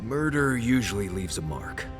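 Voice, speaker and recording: An actor playing a sardonic, sharp-eyed companion in a fantasy game, speaking in a dry, pointed way. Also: male voice, on the low side, very animated, moderate pace, music in the background. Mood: dry, suspicious. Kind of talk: fantasy video game dialogue.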